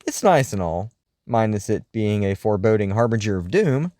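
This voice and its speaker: A man's voice: on the low side, sing-song, moderate pace, mellow, no echo, studio quality. A man working as a host, reading listener mail in a scripted radio-show style with a theatrical, wry, musing delivery.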